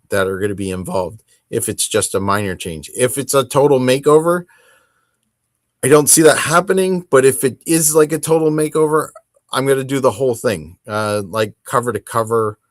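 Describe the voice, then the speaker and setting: A man hosting a hobby podcast, talking casually on his own in a rambling, halting and self-deprecating way. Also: medium pitch, no echo, clean studio sound. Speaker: host of a tabletop wargaming podcast. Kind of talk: casual solo chat to camera.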